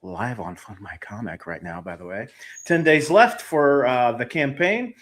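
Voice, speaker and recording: A man's voice: medium pitch, animated, measured pace, fairly bright tone. A man hosting a casual live-stream interview about comics, upbeat and hesitant, with many "um"s, speaking into a new desk mic at home. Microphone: new USB mic, home setup.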